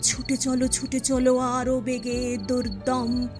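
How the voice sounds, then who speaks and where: A woman with a high voice gives a slow, dramatic poetry recitation. She shapes each phrase expressively and with emphasis, stretching words out, while music plays behind her. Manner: expressive, measured, emphatic delivery with drawn-out phrases. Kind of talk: dramatic Bengali poem recitation.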